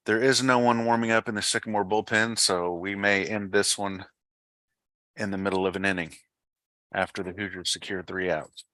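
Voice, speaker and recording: Guy doing baseball play by play, bright voice, close dry sound, matter of fact. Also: lowish voice, fairly even intonation, moderate pace, no room sound. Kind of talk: live sports commentary.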